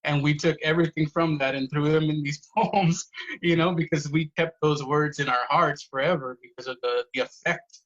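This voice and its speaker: A man's voice: fairly high pitch, steady tone, normal speed, a bit bright, dull audio. A man, a poet guest on a podcast, telling family stories earnestly, swearing freely and saying "you know" a lot. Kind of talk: casual podcast conversation.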